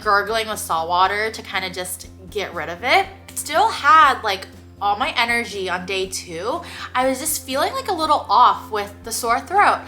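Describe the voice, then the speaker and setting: Young woman vlogging, bright and kinda high, stuffy nose, lots of likes. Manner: chatty, many "like" fillers, quick laughs. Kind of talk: casual chat to camera.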